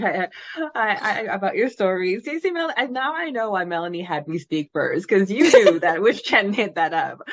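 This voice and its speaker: A woman co-hosting a fan podcast, chatting casually in an effusive, giggly way, often repeating words. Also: mid-range voice. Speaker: podcast co-host. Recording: slightly dull lo-fi audio.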